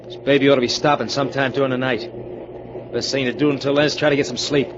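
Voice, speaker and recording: A man's voice: mid-range voice, level tone, quick pace, close to an everyday voice. Man acting a brusque small-time American crook, muffled old radio. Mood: subdued, weary. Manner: brusque, bossy, slangy. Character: tough, impatient small-time crook on the run. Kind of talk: 1940s radio crime drama acting. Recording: dull, noisy old radio recording.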